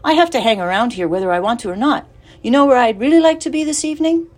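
A woman voicing a young male pig who is eager and tender-hearted, in a high boyish storybook voice. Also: lively intonation, fast talker. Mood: wistful.

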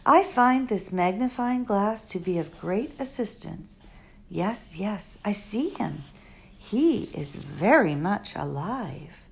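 A woman's voice: mid-range voice, expressive, slow, creaky. A woman doing an eccentric old lady philosopher. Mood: musing. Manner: stilted formal phrasing, musing. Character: eccentric elderly female philosopher, old-world formal. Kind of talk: audiobook character reading.

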